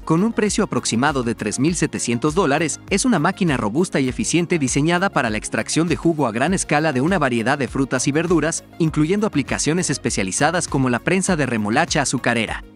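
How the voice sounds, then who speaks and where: A man narrating a scripted promotional explainer in a measured, formal read-out manner. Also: a little high, lively intonation. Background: background music.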